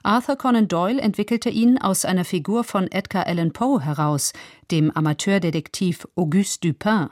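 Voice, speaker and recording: Woman radio presenter, slightly low voice, scripted intro, articulate and composed, very dry recording. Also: moderate pace, a little mellow, dry recording.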